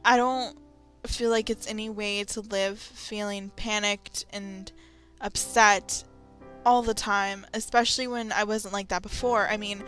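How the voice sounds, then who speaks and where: A young adult woman giving a candid, confessional vlog talk, speaking haltingly and often repeating phrases. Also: mid-range voice, fairly even intonation, a bit bright. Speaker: video creator. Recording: music behind the voice. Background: background music.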